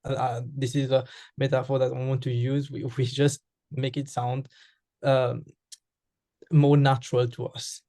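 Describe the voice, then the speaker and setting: A man's voice: mid-range voice, flat delivery, unhurried, warm tone, no room sound, clean studio sound. A man from Mauritius with a Mauritian accent, a Creole speaker answering interview questions, hesitant with frequent fillers and restarts.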